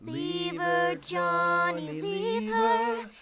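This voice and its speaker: A woman playing a gentle, grieving young girl in an audio drama, speaking and singing softly and tenderly. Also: very high-pitched, huge pitch swings, vocal fry, over loud music, exaggerated voice. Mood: mournful singing. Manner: soft, tender, slow. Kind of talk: audio drama acting, sea shanty singing.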